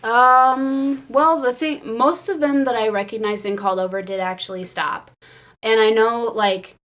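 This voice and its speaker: Woman, American accent, casual storyteller, excitable, giggly, conversational. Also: on the high side, normal speed. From Illinois, United States. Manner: animated, giggly, says "like" often. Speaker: podcast crew member telling fan stories.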